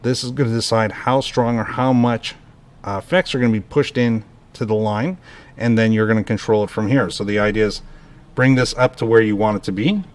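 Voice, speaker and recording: A man hosting a hands-on equipment tutorial, calmly explaining each step as he adjusts the controls. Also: lowish voice, brisk. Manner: relaxed, explanatory, talks through each step while adjusting knobs. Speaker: product tutorial host. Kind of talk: casual hands-on equipment demo.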